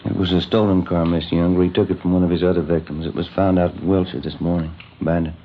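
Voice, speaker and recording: A man acting as a steady, obliging police detective in a 1950s radio drama, plain and matter-of-fact. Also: sits low, fast talker, lightly acted. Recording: muffled, hissy vintage radio recording.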